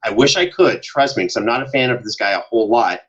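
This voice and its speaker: A man chatting casually as a podcast guest, telling animated stories and acting out the exchanges he quotes. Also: medium pitch, fast, husky, highs cut off. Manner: banters, acts out quoted exchanges. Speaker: podcast guest, show co-host and audio drama producer.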